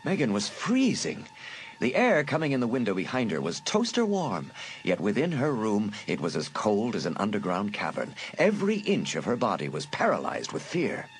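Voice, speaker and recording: A man's voice: on the low side, huge pitch swings, moderate pace, a little hiss or hum, low-quality recording. A man narrating a suspense story as a dramatic, scripted audiobook.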